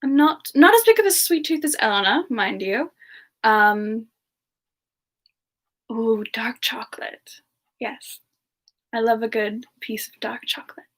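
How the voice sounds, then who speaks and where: Woman doing a sweet shy demon singer lady, soft and kinda hesitant, high voice, talks real slow, asmr stream vibe. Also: expressive. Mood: cozy, friendly. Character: sweet, bashful, gentle female demon singer. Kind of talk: semi-ASMR in-character livestream Q&A.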